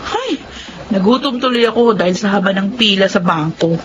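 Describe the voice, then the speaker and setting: A woman's voice: medium pitch, lively intonation, moderate pace, music in the background, lightly acted. A woman playing a trusting, emotional young bride-to-be in a radio drama, speaking expressively. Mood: casual. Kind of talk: Tagalog radio drama acting. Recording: dull lo-fi audio, faint noise.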